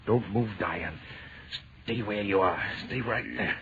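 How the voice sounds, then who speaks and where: A man's voice: on the low side, measured pace, natural voice. A man playing a desperate, anguished hero in a 1930s radio horror play, urgent and melodramatic. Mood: desperate pleading. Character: desperate, anguished man pleading to save a woman. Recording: tinny, noisy 1930s radio recording.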